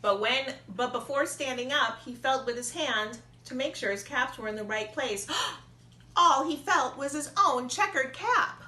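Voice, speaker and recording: A woman preschool teacher reading a storybook aloud to young children, expressive and deliberate in her emphasis. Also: high voice. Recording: echoey room with faint noise.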